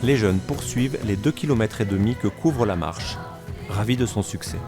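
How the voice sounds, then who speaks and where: Low-voiced man narrating a news report. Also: normal speed. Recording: loud music behind.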